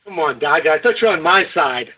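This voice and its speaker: A male podcast host wraps up the show with playful, teasing banter. His voice is slightly husky and fairly high for a man, heard over a tinny, phone-like line. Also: lively intonation, brisk. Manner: joking, teasing. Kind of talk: casual wrap-up banter.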